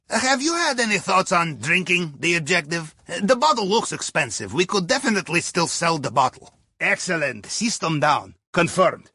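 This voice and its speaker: A jovial Russian captain guides a crew through a video game heist. His Russian-accented voice sits high for a man and swings widely in pitch, with dropped articles and playful questioning tags. The sound is dry and close. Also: bright, forceful tone, slightly rough, no echo, heavily acted. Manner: playful, theatrical, drops articles, tags sentences with questioning "yes?" or "huh?". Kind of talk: video game mission dialogue over comms.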